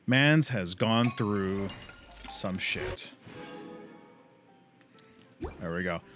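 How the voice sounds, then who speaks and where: A man's voice: sits low, lively intonation, extremely slow, a bit bright, faint music behind. A man chatting casually as a livestream host and gamer, rambling in loose slang with frequent swearing.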